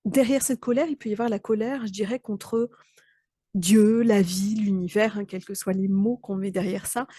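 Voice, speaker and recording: Woman with a cold, husky and dry. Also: medium pitch, steady tone, moderate pace, dark tone, dry recording. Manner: thoughtful, hesitant, many fillers and restarts. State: slightly hoarse from a cold. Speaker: mentor and trainer. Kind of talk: explanatory talk to camera.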